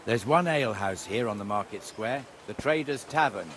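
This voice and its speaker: An actor playing a blunt, gruff, conversational town guardsman in a video game. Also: fairly low pitch, lively intonation, normal speed, fairly bright tone, slight background noise. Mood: chatty. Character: gruff town guardsman, chatty, contemptuous of beggars.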